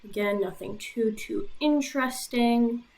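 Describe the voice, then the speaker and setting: Relaxed young woman walking through her application. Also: a little high, a little slow. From Puerto Rico. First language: Spanish. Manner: relaxed, frequent "um" fillers, matter-of-fact. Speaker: YouTuber and medical school applicant. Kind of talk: casual walkthrough of her own application.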